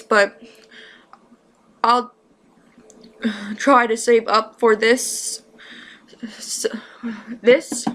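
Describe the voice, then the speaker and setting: A woman chatting casually as a reaction video host, with a rambling, halting delivery. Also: fairly high pitch, fairly bright tone. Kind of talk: casual chat to camera.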